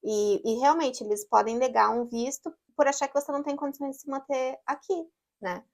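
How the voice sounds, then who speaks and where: A woman's voice: high-pitched, fairly even intonation, brisk. A woman co-hosting a podcast, chiming in and adding details in casual chat. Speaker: podcast co-host. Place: living in Canada.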